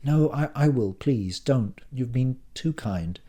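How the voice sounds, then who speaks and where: A man's voice: medium pitch, expressive, slow, warm tone. A man voicing a polite, apologetic, anxious woman at a dinner in an audiobook.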